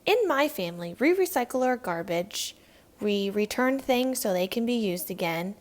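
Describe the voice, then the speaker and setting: A woman reading a children's picture book aloud in an expressive storytelling style. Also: medium pitch, moderate pace. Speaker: read-aloud narrator.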